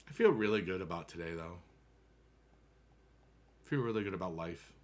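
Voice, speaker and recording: A man's voice: low voice, lively intonation, a little slow. An American man in his thirties chatting casually with viewers on a game stream, rambling and upbeat with playful rhymes.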